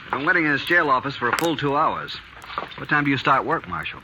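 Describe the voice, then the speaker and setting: An actor playing a greedy, cold banker in a 1950s radio drama, speaking curtly and dismissively. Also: male voice, mid-range voice, very animated, quick pace. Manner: curt, haughty, dismissive. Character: cold greedy middle-aged male banker. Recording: hissy old 1950s radio broadcast.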